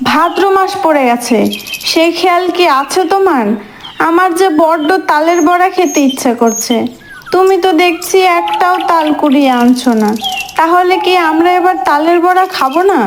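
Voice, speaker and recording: A woman's voice: very high voice, normal speed, a little hiss or hum. A woman voicing a bossy village wife who insists, scolds and wheedles to get her way. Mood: insistent.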